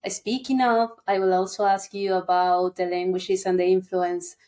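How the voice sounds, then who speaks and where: A woman's voice: mid-range voice, little pitch movement, moderate pace, mellow. A young woman hosting a casual interview over a video call, friendly and often laughing. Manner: friendly, laughs often, non-native phrasing. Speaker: podcast host. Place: indoor room with curtains.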